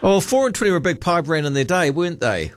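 A man's voice: a little high, very animated, fast, dry recording. A man with a New Zealand accent hosting a late-night talkback radio show, chatting in a dry, offhand way. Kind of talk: casual talkback radio chat. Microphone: studio broadcast mic.

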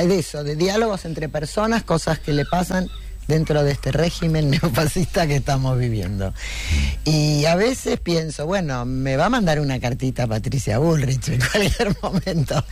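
A woman's voice: low-pitched, animated, a little slow, a little mellow. An Argentine woman, a guest cartoonist on a radio panel, talking frankly and laughing often.

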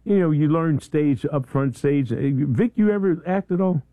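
Rambling radio host, slightly raspy. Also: male voice, on the high side, animated, dry recording, phone-quality sound. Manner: rambling, halting restarts. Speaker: morning radio show host. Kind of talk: casual on-air chat.

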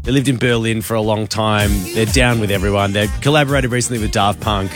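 A man's voice: on the low side, music in the background. A man, co-hosting a radio show and telling a story in casual banter. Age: 30s. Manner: animated storyteller, quick asides. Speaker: radio co-host.

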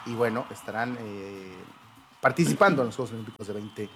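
A man's voice: on the low side, fairly quick, soft background music, rough recording. A man hosting a casual sports talk show, hesitant with many fillers and self-corrections.

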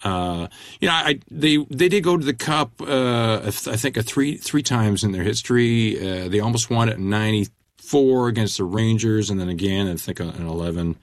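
A man's voice: fairly low pitch, moderate pace, no room sound. A middle-aged man from Canada, a musician guest chatting casually, rambling and self-deprecating with lots of restarts. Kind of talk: casual interview chat.

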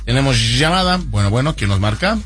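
A man's voice: mid-range voice, sing-song, quick pace, fairly bright tone, soft background music. A man co-hosting a sports talk radio show, easygoing and affirming as he takes calls. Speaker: radio co-host.

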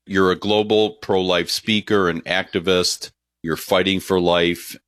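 A man's voice: deep voice, steady tone, a little slow, a bit bright, no echo, studio quality. A man hosting a podcast interview, upbeat and earnest as he welcomes listeners and introduces his guest. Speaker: podcast co-host. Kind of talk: hosted interview.

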